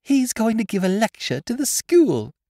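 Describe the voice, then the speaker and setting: Man putting on a high-pitched eager schoolgirl, quick chatter, dry close sound. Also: very animated, dark tone, no echo. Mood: excited. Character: bright, excitable young schoolgirl.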